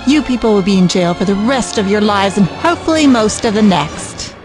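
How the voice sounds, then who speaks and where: An actress playing an angry, protective mother, sharp and accusing. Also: mid-range voice, lively intonation, fairly quick, music in the background, close to an everyday voice.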